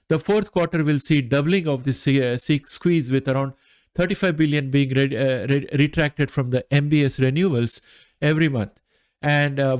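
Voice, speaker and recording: A middle-aged man talks through market commentary in a nasal voice, as if still getting over an allergy. He speaks at a middle pitch and works through his points in a measured way, often pausing on "uh". The recording is dry and close, with little room sound. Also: darkish tone, smooth, dry recording. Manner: measured, frequent "uh" pauses. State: recovering from allergy. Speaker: finance channel host.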